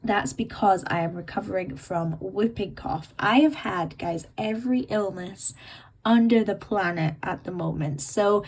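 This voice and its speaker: Croaky woman rambling on a podcast. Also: medium pitch, measured pace, rough recording. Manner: rambling, self-mocking, giggly. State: croaky and bunged up from illness. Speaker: podcast host. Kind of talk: casual chatty monologue.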